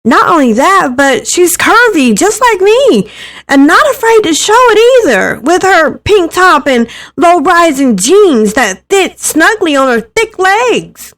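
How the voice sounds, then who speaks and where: A woman voicing an excitable, incredulous teenage girl who exclaims questions to herself in a story reading. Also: very high-pitched, slow, voice pushed up, heavily acted. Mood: astonished.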